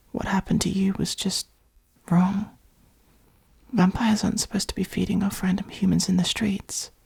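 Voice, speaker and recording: Woman as a caring vampire, very breathy whisper, lowish pitch, patient, reassuring, comforting ASMR roleplay. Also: warm tone, heavily acted. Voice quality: breathy, creaky. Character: gentle, protective adult vampire caring for a fledgling.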